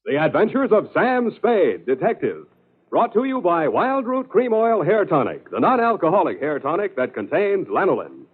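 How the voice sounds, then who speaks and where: High-pitched American vintage radio announcer man. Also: huge pitch swings, normal speed, slightly rough. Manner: emphatic, polished salesmanship. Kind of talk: 1940s radio advertising announcer. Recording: old narrow radio broadcast with music.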